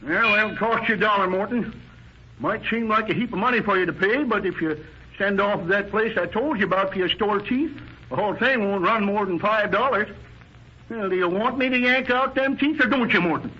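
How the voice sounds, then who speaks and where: An actor playing a bossy, folksy country storekeeper with a rural American accent, in 1930s radio style. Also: male voice, high-pitched, brisk, husky. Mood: folksy, impatient. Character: folksy rural storekeeper doubling as tooth-puller and blacksmith. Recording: dull, hissy 1930s radio recording.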